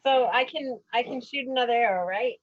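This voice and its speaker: A woman banters casually during a tabletop game as one of the players. Her voice is fairly high, and the sound is a little dull and lo-fi. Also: brisk. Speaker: player and co-host.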